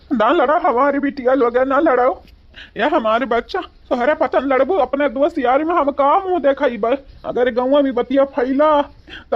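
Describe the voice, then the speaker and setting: Voice actor doing cartoon village father, older, Awadhi accent, high voice, pleading, fretful, muffled lo-fi sound. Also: natural voice. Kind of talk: cartoon voice acting.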